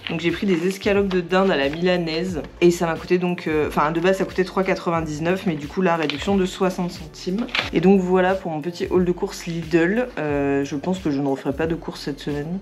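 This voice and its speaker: A hoarse young woman from France chatting casually in a home vlog, with many fillers and little laughs. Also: lowish voice. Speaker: vlogger. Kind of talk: casual daily vlog chat to camera.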